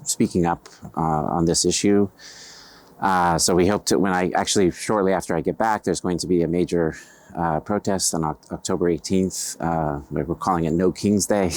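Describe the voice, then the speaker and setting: A middle-aged American man, a writer, answering interview questions in a measured, hesitant way with many pauses and fillers. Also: deep voice, darkish tone, no room sound. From United States.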